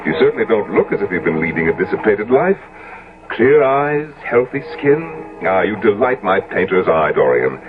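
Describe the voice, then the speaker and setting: An actor playing an older, genial London portrait painter in a 1970s radio drama, effusive and earnest. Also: male voice, on the low side, very animated, moderate pace, over music. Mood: delighted, fond. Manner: effusive, exclamatory. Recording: noisy, narrow-band old radio recording.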